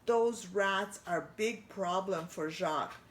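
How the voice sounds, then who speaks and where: A woman's voice: on the low side, slow pace. A woman voicing a cheerful French pet mouse. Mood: reassuring. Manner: mock French, broken English. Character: cheerful male pet mouse, the chef's companion.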